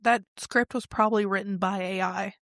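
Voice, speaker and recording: A woman co-hosting a podcast in casual movie chat, hoarse and losing her voice, quick with playful agreements. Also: medium pitch, steady tone, fairly bright tone, no room sound, clean studio sound. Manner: short eager agreements, playful. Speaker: podcast co-host.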